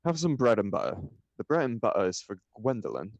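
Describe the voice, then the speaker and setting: A man's voice: medium pitch, very animated, fairly quick, dry recording, lo-fi sound. A man reading the part of a flippant, idle upper-class bachelor in a stage comedy, with an arch, mock-serious declamatory manner. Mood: teasing, indignant.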